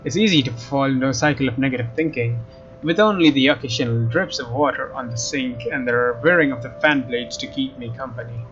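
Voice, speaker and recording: A man's voice: mid-range voice, fairly quick, a bit bright. A young man doing a let's play, reading story text dramatically and commenting casually. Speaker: let's player. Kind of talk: dramatic read-aloud with casual gameplay commentary. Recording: slightly dull mic over game music. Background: faint game music.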